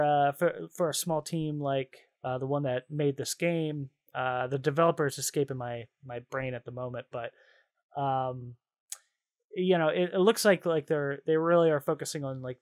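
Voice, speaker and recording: A man's voice: medium pitch, moderate pace. A man co-hosting a podcast, chatting casually in a rambling, slangy, enthusiastic way about games and shows. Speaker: podcast co-host.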